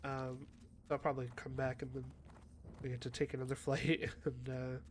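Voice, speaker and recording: A man with a cold talks casually through a gaming session, sounding sniffly and stuffed up. His voice stays even and fairly flat, with little rise or fall. He is hesitant, pausing on fillers and restarting his sentences. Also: medium pitch, slight background noise. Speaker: gaming YouTuber. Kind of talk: casual rambling commentary while playing.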